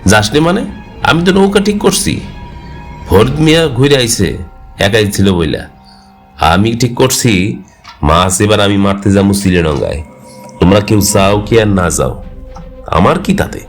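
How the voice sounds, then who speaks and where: A man's voice: on the low side, animated, a little slow, pauses often, soft background music. A man voicing a stubborn, hot-headed village fisherman in a cartoon, indignant and brash, with a rural Bangladeshi Bengali accent. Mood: defiant. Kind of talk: cartoon character dialogue.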